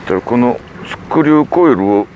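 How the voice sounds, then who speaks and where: A man's voice: medium pitch, expressive, a little fast, highs cut off. A man giving casual fishing commentary, muttering reactions and explaining informally as host. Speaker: fishing show host and angler. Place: open boat at night, outdoors. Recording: noisy outdoor boat recording, wind. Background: boat and wind noise.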